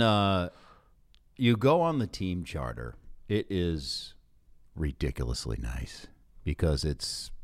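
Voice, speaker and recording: An American man chats as a podcast host in a fairly low voice. His delivery is lively and conversational, rising and falling widely in pitch as he makes his points. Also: clear, resonant tone. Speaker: podcast host, sports broadcaster. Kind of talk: casual podcast interview chat.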